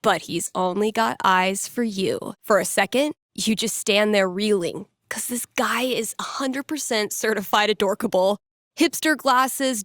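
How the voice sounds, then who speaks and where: A woman's voice: mid-range voice, a bit bright, no room sound. A woman voicing an upbeat young radio DJ in a video game, conversational and teasing, with dry humour as she talks to callers. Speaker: radio DJ taking calls and telling fortunes. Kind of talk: playful radio host patter, storytelling to callers.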